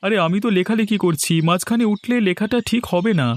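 A man's voice: high voice, quick pace, natural voice. A man voicing a greedy young son-in-law in a cartoon, grumbling and sarcastic. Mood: excuse-making.

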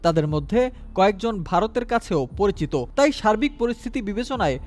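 A man with a Bangladeshi accent narrating a scripted news report in a formal, measured manner. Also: high voice, lively intonation. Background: background music.

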